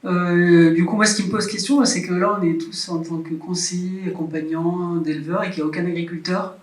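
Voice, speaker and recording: A man's voice: sits high, steady tone, brisk. A man who advises livestock farmers, raising a question hesitantly in a workshop. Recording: roomy hall, distant mic.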